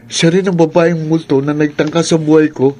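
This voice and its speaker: A man's voice: a little high, flat delivery, normal speed, mellow, natural voice. An actor playing a young man, attacked by a ghost, who speaks in a shaken, disbelieving way in a radio drama. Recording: noticeable hiss.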